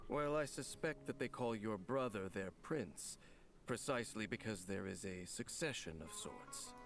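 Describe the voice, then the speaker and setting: Low-voiced, solemn ancient warrior. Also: male voice, animated, a bit bright, faint music behind. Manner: measured, formal, solemn. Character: grave, ancient warrior man, stoic and reserved. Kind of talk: anime-style video game voice acting.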